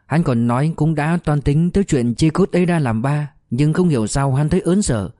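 A man's voice: fairly high pitch, moderate pace, mellow, no room sound, clean studio sound, pitched above the performer's own voice, close to an everyday voice. A man voicing a composed, tactful woman comrade in a novel reading. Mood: matter-of-fact.

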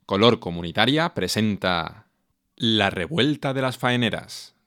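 A man's voice: on the low side, expressive, a little slow, fairly bright tone, dry recording, clean studio sound. A man reading scripted announcer narration for a radio drama.

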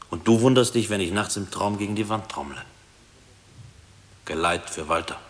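An actor playing a brooding husband and former prisoner in a 1960s radio drama, telling his memories gravely and deliberately. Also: sits low, in a large hall. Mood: haunted, fearful.

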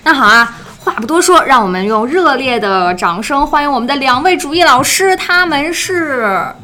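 A woman's voice: high voice, expressive, moderate pace, pushed and bright. A woman hosting a live show in a bubbly, encouraging manner. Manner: bubbly, cheering the guests on. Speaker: host of a live fan event.

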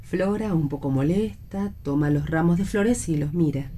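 A woman narrator reads stage directions from a play. Her voice sits on the low side and is soft-edged and warm in tone. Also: moderate pace, slight background noise.

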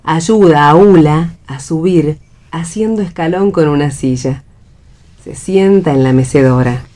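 A woman's voice: low-pitched, unhurried, warm tone. A woman reading stage directions as the narrator of a radio play.

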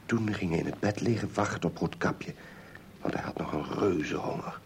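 A man's voice: low-pitched, normal speed. A man reading scripted fairy tale narration as the narrator of an audio play. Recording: clearly noisy background with clicks.

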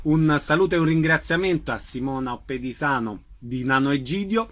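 A man works as a radio host, interviewing guests. His voice is bright and sits a little higher than most men's. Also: brisk.

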